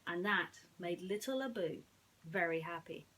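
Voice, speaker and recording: A woman speaking in an earnest, slightly halting way, as a storyteller chatting and reading to children. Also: lowish voice, expressive, pushed and bright. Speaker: storyteller reading bedtime stories.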